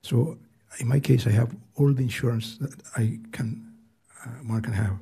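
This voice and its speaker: An eighty-year-old man with a Greek accent, earnestly reading prepared testimony at a hearing in a soft, weak voice, halting and restarting now and then. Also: medium pitch, fairly even intonation, vocal fry, husky. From Greece. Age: 80s. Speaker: hearing witness. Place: hearing room.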